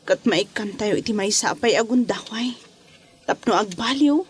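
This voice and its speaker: A woman acts out a regretful woman longing for redemption in an audio drama. Her medium-pitched voice is earnest and plaintive, tinged with hope. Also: normal speed, slight background noise, close to an everyday voice. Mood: wistful. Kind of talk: amateur Ilocano audio drama acting.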